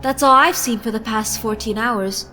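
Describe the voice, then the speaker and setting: A woman's voice: fairly high pitch, moderate pace, pushed and bright, soft background music. A young woman playing a skeptical diner counterman. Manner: dismissive, skeptical. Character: weary diner counter worker. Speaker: student radio play actor.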